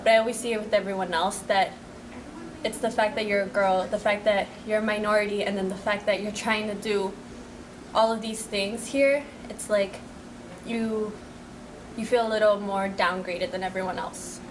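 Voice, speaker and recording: A young woman talks casually and plainly about her experiences, as an interviewee. She is recorded from some distance, with clear background noise. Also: mid-range voice, flat delivery, moderate pace. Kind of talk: casual testimony.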